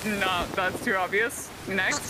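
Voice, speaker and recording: A man's voice: sits high, fairly even intonation, slow pace, in a large hall, noisy background. A man chatting casually as a fellow player on game voice chat.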